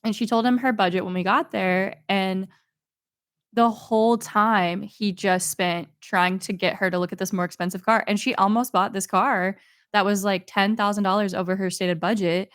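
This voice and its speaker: A woman's voice: mid-range voice, steady tone, normal speed, fairly bright tone, no echo, clean studio sound. A young woman, co-hosting a podcast, chatty and laughing often as she tells stories. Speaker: podcast co-host. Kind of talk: casual chat.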